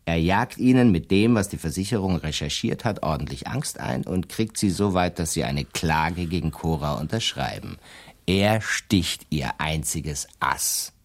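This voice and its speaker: An actor playing a shrewd, self-assured defence lawyer in a radio play, explaining his schemes deliberately and slyly. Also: low-pitched, lively intonation. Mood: explaining, cool. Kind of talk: German radio drama dialogue.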